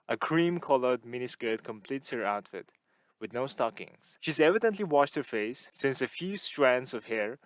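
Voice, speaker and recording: Calm man reading a novel aloud. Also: mid-range voice, no echo. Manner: calm, even first-person narration. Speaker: audiobook reader.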